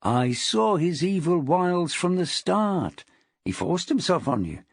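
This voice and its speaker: A woman voicing a menacing, obsessive, jealous husband in an audiobook, crooning and hissing with drawn-out words. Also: lowish voice, big pitch swings, breathy edge, no echo, studio quality, heavily acted.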